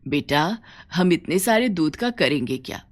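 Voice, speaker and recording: A woman voicing a gentle, devout elderly village mother in a cartoon story. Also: sits low, expressive, a little slow, voice pushed down. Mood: gentle advising.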